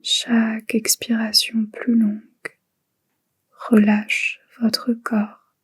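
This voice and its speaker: A woman leads a guided relaxation in a very breathy, whispered tone. Her delivery is extremely slow, with short phrases set apart. The melody stays quite flat and soothing throughout. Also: on the high side. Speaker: guided meditation instructor. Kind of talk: guided relaxation meditation, soft and unhurried.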